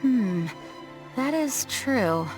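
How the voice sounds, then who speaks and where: A woman's voice: fairly high pitch, expressive, drawn-out words, darkish tone. An actress playing a poised, dutiful woman knight commander in an English game dub, speaking formally and with composure. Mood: composed, serious. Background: game music.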